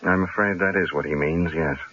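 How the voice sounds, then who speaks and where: An actor playing a cool, shrewd newspaperman in a radio drama, speaking in a measured, dryly sardonic way. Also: deep voice, fairly even intonation, sharp tone, a little hiss or hum. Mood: grave calm. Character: cool, shrewd newspaper columnist, stalling his would-be killer. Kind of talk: 1970s radio drama acting. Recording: dull, lo-fi old radio broadcast.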